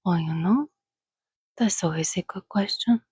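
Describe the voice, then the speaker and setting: A woman voicing an ancient female vampire in a soft-spoken, breathy ASMR roleplay, coaxing and gently teasing as she hosts a listener. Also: fairly low pitch, no echo, studio quality. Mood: soothing, inviting. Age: over a thousand years old, as played. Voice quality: breathy, whispery. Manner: slow, coaxing, conversational with pauses.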